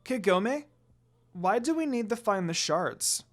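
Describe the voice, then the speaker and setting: A narrator voicing a curious, eager little girl with an excitable, questioning manner. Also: mid-range voice, takes it slowly, voice pushed up.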